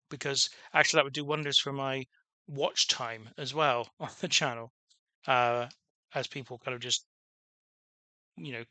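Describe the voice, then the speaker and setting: A man's voice: mid-range voice, bright, forceful tone, no echo. A man casually commenting on his own gameplay as a channel host, rambling with many restarts and fillers, and calling his own voice dull. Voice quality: self-described dull voice. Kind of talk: casual let's-play commentary.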